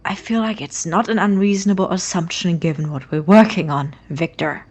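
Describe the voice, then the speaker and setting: An anxious young lab partner voiced by a woman in an audio drama, speaking in an everyday, natural way at a middling pitch. The delivery is earnest and wary, with a sardonic edge. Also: moderate pace, close to an everyday voice. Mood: defensive, sardonic. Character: anxious, suspicious young roommate Christopher, grave-robbing lab partner.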